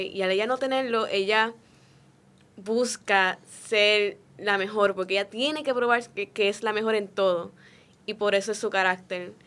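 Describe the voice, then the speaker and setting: Young female guest, Puerto Rican accent, crisp resonant voice, explains herself reflectively in casual interview style. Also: medium pitch, fairly bright tone. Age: young adult. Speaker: student voice actor, guest. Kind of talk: conversational interview answers.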